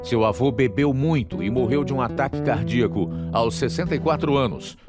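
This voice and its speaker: A man's voice: medium pitch, a little fast. A man with a Brazilian accent, announcing and narrating a scripted dramatic radio programme. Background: guitar music.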